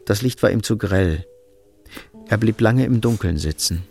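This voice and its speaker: An actor reading scripted literary narration as the sober, detached storyteller of a radio play. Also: male voice, fairly low pitch, measured pace, vocal fry, a little mellow, soft background music. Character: sober, detached storyteller of a Cold War thriller.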